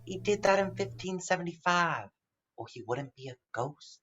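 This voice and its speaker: A woman's voice: fairly low pitch, animated, normal speed, a bit bright, dull audio. A woman playing a sleepy, mischievous young boy in a radio play. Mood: hushed, waiting.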